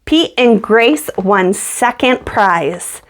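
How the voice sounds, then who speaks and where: A woman narrates a children's story in a mid-pitched voice. She reads at a slow pace, as a storyteller reading a picture book aloud, and acts out what the characters say. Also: expressive.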